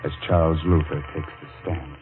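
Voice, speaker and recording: An actor playing a gleefully ghoulish, sardonic horror-show host in 1940s radio style, mock-polite and theatrical, on a muffled old recording. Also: male voice, extremely low pitch, little pitch movement, moderate pace, soft background music. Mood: ominous.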